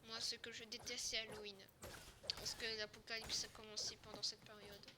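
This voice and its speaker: A young woman playing a forceful, confused half-zombie survivor in an improvised game roleplay, speaking informally and haltingly with repetitions. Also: mid-range voice, level tone, close to an everyday voice. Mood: puzzling, scheming. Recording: noisy home recording with clicks.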